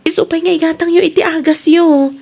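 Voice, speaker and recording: A high-pitched female voice with an Ilocano accent, a woman acting it out plays a concerned younger relative in a radio drama. She nags and admonishes like someone anxious to be obeyed. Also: steady tone, brisk, vocal fry, a little mellow. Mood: worried, scolding. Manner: nagging, admonishing.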